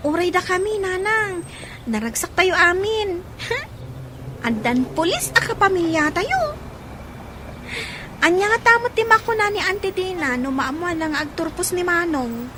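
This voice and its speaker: A high, girlish voice for a cheerful young daughter. She is an eager little sister with an Ilocano accent, in the style of radio comedy drama. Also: very animated, measured pace, long pauses, background noise, exaggerated voice. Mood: cheerful, excited.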